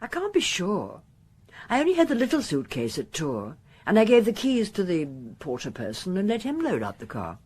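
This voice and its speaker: An actress playing an efficient upper-class wife in a radio crime drama, precise and a little haughty. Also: female voice, mid-range voice, wildly swooping pitch, moderate pace, a little mellow, breathy edge. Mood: precise, guarded. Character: efficient upper-class titled English wife, slightly indignant.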